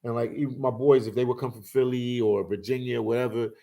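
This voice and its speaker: A man's voice: medium pitch, fairly even intonation, a little fast, vocal fry, warm tone. Easygoing guy in his forties, chatty livestream host, lots of "man" and "you know", rambling stories. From Philadelphia. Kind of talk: casual livestream chat.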